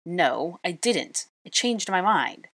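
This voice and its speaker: A woman's voice: on the low side, lively intonation, slow pace, a bit bright, slightly rough, heavily acted. A woman voicing an arrogant, sneering young wizard man in a storybook reading, with a scornful, bossy way of talking. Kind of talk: audiobook character voice.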